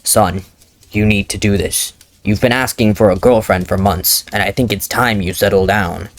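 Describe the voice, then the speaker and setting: A man voicing a stern, stoic Viking chief father in a dramatic reading of fanfiction, speaking in a gruff, low-key way. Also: steady tone, moderate pace, natural voice.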